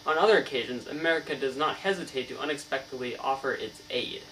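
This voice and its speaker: A man's voice: medium pitch, fast, fairly bright tone, lightly acted. A man playing a learned, earnest novelist in a scripted mock interview, speaking formally as if reciting and stumbling over words now and then. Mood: earnest, explanatory. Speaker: student playing an interviewed author. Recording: distant mic, clear mains hum.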